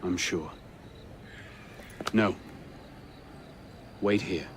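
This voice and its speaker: An actor playing a serious, determined young assassin in a video game, restrained and terse in delivery. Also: male voice, low voice, very slow, slight background noise. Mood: firm, subdued. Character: earnest, determined young male hooded assassin. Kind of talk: dramatic video game voice acting. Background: cinematic music.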